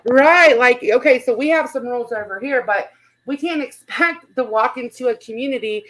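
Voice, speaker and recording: A woman's voice: on the high side, normal speed, fairly bright tone, poor audio. A woman host in casual livestream commentary, speaking bluntly and swearing often.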